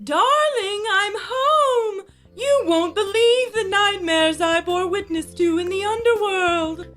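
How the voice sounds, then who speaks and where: A woman's voice: very high voice, slow, fairly bright tone, faint music behind, heavily acted. A woman voicing a resurrected monstrous cow wife, theatrical, in a horror comedy audio drama. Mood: tender, dramatic.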